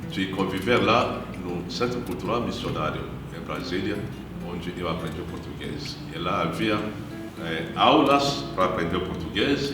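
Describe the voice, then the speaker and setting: A man in his fifties speaks as a missionary priest, telling his story in a measured, reflective way. His voice sits very low. It rings through a strongly echoing room, with guitar music playing clearly behind him. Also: distant mic. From Democratic Republic of the Congo. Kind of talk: personal testimony in an interview.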